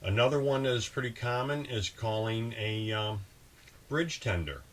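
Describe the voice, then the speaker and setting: A man's voice: medium pitch, normal speed. A man hosting a boating video, explaining procedures in a casual, conversational instructional style. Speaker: host of a boating channel. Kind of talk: casual instructional talk with example radio calls. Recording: clear steady background ambient noise.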